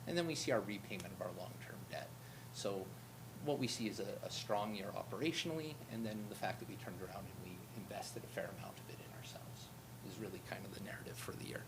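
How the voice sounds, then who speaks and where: Low-voiced man explaining figures steadily. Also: quick pace. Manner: steady, explanatory, calls own voice droning. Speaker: auditor presenting financial statements. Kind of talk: presenting to a town council. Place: council meeting room. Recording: meeting recording with loud background rumble.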